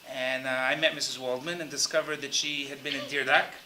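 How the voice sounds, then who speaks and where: A man's voice: mid-range voice, flat delivery, a little fast, echoey room, noisy background. A man giving an earnest, informal introduction to a guest speaker for students, with frequent fillers.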